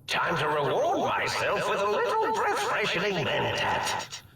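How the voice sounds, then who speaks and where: An actor playing an odd male scientist who makes announcements in a video game, with a raspy voice. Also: on the high side, bright, forceful tone, a little hiss or hum, heavily acted. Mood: gleeful.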